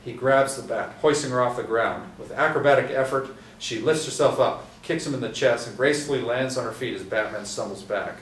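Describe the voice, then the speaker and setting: A man reading stage directions aloud at a table reading, steady with small restarts. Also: medium pitch, brisk, hardly any pauses. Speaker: script narrator. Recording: echoey room from a distance, faint hiss.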